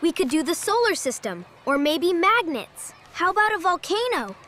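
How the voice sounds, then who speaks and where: A woman's voice: extremely high pitch, big pitch swings, moderate pace, fairly bright tone, a little hiss or hum, heavily acted. A woman voicing an eager, kind-hearted schoolboy in a children's cartoon story, earnest and exclamatory. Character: excitable, eager, kind-hearted schoolboy building a science project.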